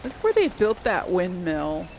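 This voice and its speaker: Casting: female let's play host, casual and chatty, noticeable vocal fry, mid pitch, faint game ambience behind. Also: huge pitch swings, measured pace, noisy background. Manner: chatty, musing aloud. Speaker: gaming video host.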